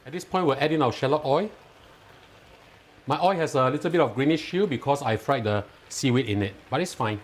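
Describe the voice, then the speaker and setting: A man's voice: medium pitch, fairly quick. A man with a Singaporean English accent talking viewers through a home recipe step by step, instructive and lightly enthusiastic. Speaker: cooking channel host. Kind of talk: cooking tutorial voice-over. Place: home kitchen.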